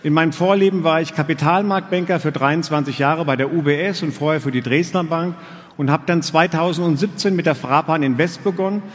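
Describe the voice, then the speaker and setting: A man's voice: a little high, a little fast. A middle-aged man from Germany giving a sales presentation to an audience as a guest speaker. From Frankfurt, Germany. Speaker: guest speaker, investment advisor. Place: large hall, on stage. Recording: long hall reverb.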